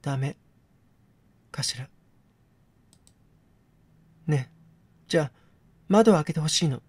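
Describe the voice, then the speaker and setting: A man's voice: a little high, expressive, slow pace, darkish tone, slightly rough, no echo, full character voice. A man voicing a captive actress in a game, a composed, wistful woman with coy, teasing phrasing. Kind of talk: dramatic game dialogue reading.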